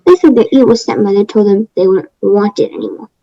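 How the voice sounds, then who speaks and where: A woman's voice: medium pitch, brisk, mellow, lightly acted. Woman playing prim fairy-tale gingerbread woman, muffled recording. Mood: explaining. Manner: matter-of-fact, self-justifying. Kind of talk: readers theater performance. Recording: dull, lo-fi microphone sound.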